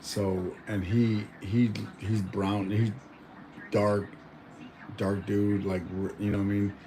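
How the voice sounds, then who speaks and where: A man's voice: lowish voice, level tone, slow pace, dark tone, slight background noise, rough recording. A young man born in Mexico chatting casually at home, rambling with lots of fillers and restarts. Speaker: vlogger. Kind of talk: casual chat.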